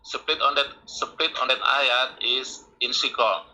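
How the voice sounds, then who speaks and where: A man's voice: medium pitch, steady tone, measured pace, sharp tone, rough recording. A man stammering hesitantly as he defends his view on a live debate call. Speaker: debate caller.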